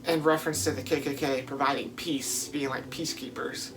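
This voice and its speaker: A man's voice: medium pitch, fairly even intonation, fairly quick, background noise. A man giving informal, emphatic video commentary as a host, repeating words for stress in a casual, conversational way. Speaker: video host reviewing films. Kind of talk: casual spoken vlog commentary.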